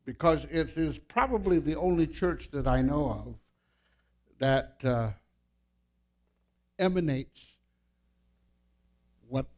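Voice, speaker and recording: A man in his seventies giving an informal sermon as a guest pastor, telling personal stories in a halting, self-deprecating way. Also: medium pitch, a little mellow. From San Francisco, United States, born to a Filipino family. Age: 70s.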